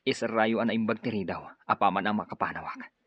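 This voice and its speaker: An earnest, resolute avenger in a radio drama. His voice is lowish and husky, on dull audio. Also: brisk, dry recording. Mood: tender, earnest. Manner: earnest, determined. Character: resolute avenging son, devoted to his mother. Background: music.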